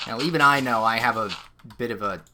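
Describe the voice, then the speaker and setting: A man's voice: medium pitch, fairly quick. A man with a nasal, slightly whiny voice, giving rambling, self-deprecating commentary as he plays a game on stream. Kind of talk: casual gameplay commentary. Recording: loud noise with keyboard clicks.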